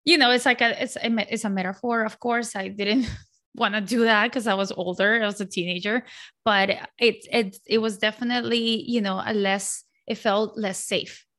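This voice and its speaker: An outgoing woman in her forties from Colombia, chatting as an interview guest. She talks at an ordinary middle pitch, full of fillers and quick restarts. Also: fairly even intonation, fairly bright tone. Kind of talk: casual interview chat.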